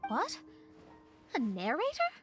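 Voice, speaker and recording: High-voiced, wry young adventurer. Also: female voice, huge pitch swings, slow, music in the background, exaggerated voice. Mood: surprised, curious. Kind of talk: video game voice acting.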